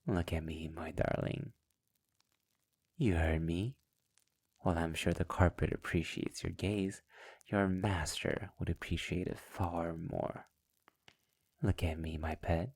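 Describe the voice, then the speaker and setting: A sadistic male vampire master, acted by a man for ASMR roleplay, murmurs slowly and very close in a deep voice. The tone is husky and breathy, silky and possessive, with menace under the formal, teasing endearments. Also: fairly even intonation, a little mellow, full character voice. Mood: silky, commanding. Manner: slow, teasing, often dropping into breathy whispers. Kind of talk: ASMR roleplay, intimate scripted monologue. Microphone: close mic in a dry, quiet space.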